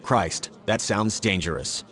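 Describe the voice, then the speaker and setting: A man's voice: lowish voice, slow, a bit bright. A man playing an obliging, friendly domestic AI in an audio drama, matter-of-fact and literal. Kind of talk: scripted audio drama dialogue.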